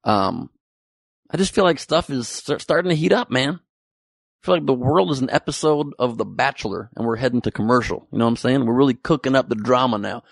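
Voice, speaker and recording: A man's voice: medium pitch, brisk, vocal fry, no echo, clean studio sound. A young man giving a comedic, profane monologue about the news with joking asides. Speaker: comedy commentary host.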